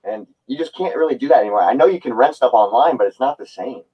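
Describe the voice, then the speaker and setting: A man's voice: mid-range voice, fast, husky, lo-fi sound. A man in his twenties talking casually and nostalgically as a guest in a video-call discussion. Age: 20s. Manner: nostalgic, relaxed.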